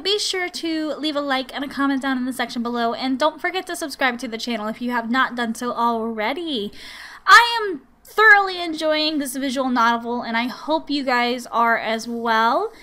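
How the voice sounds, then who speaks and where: A woman's voice: high voice, normal speed, sharp tone. A woman hosting a gaming video, talking to viewers about a visual novel. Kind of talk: visual novel let's play, reading aloud. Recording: music behind the voice. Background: game music.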